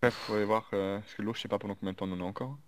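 A man's voice: on the low side, steady tone, very fast, close to an everyday voice. A man playing an uneasy, talkative zombie-apocalypse survivor in an improvised video game roleplay, rambling urgently in informal speech. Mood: worried. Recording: background noise under the voice.

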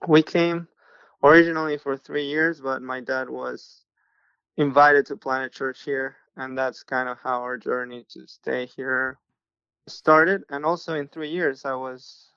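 A young adult man from Mexico, a pastor, telling his life story in a relaxed podcast interview. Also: mid-range voice, measured pace, clean tone, dry recording, highs cut off.

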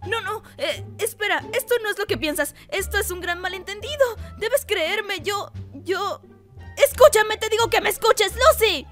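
Bright, very high cartoon kid. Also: female voice, lively intonation, moderate pace, faint music behind. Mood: panicked. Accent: Latin American. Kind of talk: Spanish-language cartoon comic fandub.

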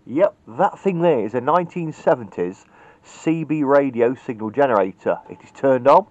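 A man talks off the cuff through a hands-on demonstration, a little low in pitch. He is blunt and matter-of-fact but chatty. The sound is dull and lo-fi, as from a camera's own microphone. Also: darkish tone. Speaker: hobbyist radio repairer demonstrating. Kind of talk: informal hands-on tutorial, off the cuff. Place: indoors at a cluttered workbench.

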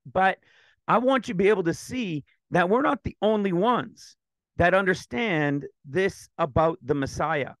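A man teaching scripture over a screen share, talking in an excited, rambling way with a slightly raspy throat. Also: high voice, a little slow, no echo, poor audio. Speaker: ministry teacher.

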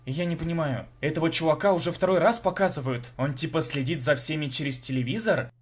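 A man's voice: on the high side, quick pace, faint music behind. A young man delivering sarcastic comedic review commentary with a mocking, profane manner. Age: young adult. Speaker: video reviewer.

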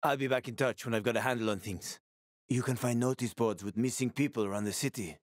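A man's voice: medium pitch, fast, no echo, clean studio sound, natural voice. An actor playing a roguish, wisecracking male survivor in a video game, talking in a casual, teasing, sweary way. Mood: wry, friendly.

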